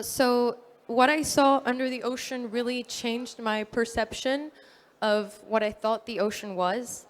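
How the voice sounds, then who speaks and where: A fifteen-year-old girl from New Caledonia giving an earnest prepared talk at a dive expo, with fillers and small self-corrections. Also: fairly high pitch. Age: teenager, fifteen. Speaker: youth speaker at a dive expo.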